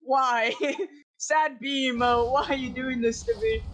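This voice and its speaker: A man calls a live esports match play-by-play in rapid, excitable bursts. His voice sits very high and is starting to go hoarse. There is clear noise over the stream audio. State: voice going hoarse. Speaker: play-by-play caster.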